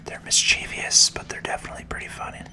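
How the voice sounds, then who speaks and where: A host whispering gentle, unhurried ASMR commentary over a video game playthrough, in a soft, breathy, soothing voice.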